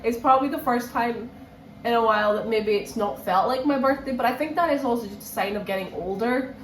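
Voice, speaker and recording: A woman's voice: on the high side, fairly quick. A woman, chatting casually to camera and repeating phrases for emphasis, a bit run down with a cold. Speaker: book vlogger. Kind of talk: casual chat to camera, reading vlog. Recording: echoey room with faint background noise.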